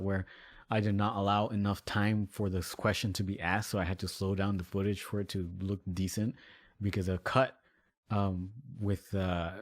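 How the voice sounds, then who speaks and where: A man's voice: low-pitched, dry recording. A man giving casual commentary on his own video, rambling with many fillers and laughing easily. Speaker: musician and video maker.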